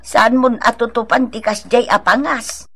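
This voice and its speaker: A woman's voice: on the high side, fairly even intonation, measured pace, fairly bright tone. A woman with a Filipino accent playing a young, gossipy but practical sweetheart in a radio drama. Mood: soothing, practical.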